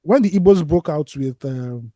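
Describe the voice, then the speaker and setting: A man chats on a podcast in a fairly high but mellow voice, circling through his thoughts with restarts. His phrases often trail off to a low mutter. The recording is dry and close. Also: animated, moderate pace, no room sound. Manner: discursive, restarts, drops to a mutter at phrase ends. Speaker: podcast co-host. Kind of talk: casual podcast chat.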